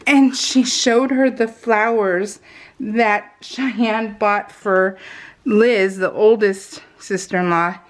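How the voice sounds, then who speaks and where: A middle-aged American woman telling personal stories in a casual, informal, animated way, slipping into retold dialogue. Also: medium pitch, slow pace. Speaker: craft video host. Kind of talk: casual chatty storytelling.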